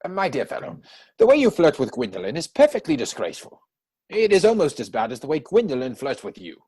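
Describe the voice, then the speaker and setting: A man acting a witty, idle young gentleman from a period comedy. His voice is slightly husky and swings widely in pitch, with arch, playful self-satisfaction in a theatrical reading. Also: wildly swooping pitch, fast, heavily acted. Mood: arch, teasing. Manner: mannered, arch, theatrical delivery.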